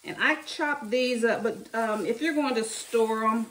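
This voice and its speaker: Slow-talking woman in an echoey kitchen. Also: on the high side, a bit bright, distant mic, slight background noise. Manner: homey and chatty, talking to viewers as she works. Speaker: cooking channel host. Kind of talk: casual meal-prep chat while cooking. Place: home kitchen. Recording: echoey room, mic set back from her.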